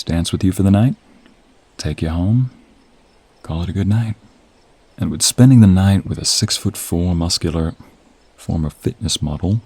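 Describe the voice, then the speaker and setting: A man playing a confident, flirty office coworker in a romantic audio role play, with a smooth-talking, teasing, intimate manner. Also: sits low, warm tone, no room sound. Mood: persuasive, warm.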